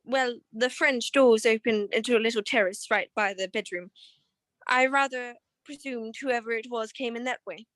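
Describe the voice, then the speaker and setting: A woman's voice: on the high side, moderate pace, fairly bright tone, dry recording, lightly acted. A woman playing an evasive, anxious, well-to-do married client in a radio detective drama, speaking in a genteel, hesitant way. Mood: genteel, explaining.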